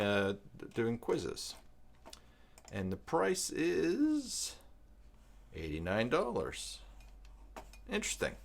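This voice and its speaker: A man hosting a casual live stream, thinking aloud in a halting, rambling way as he browses. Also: mid-range voice, fairly bright tone. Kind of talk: casual live stream chat, partly reading web pages aloud.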